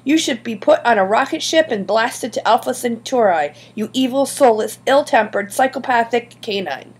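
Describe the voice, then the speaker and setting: A woman's voice: mid-range voice, moderate pace, creaky, breathy edge. A woman voicing an outraged, principled schoolgirl in an audiobook, with an indignant and scathing delivery. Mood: furious. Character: outraged, principled schoolgirl animal lover, fiery and scolding. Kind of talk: audiobook character dialogue.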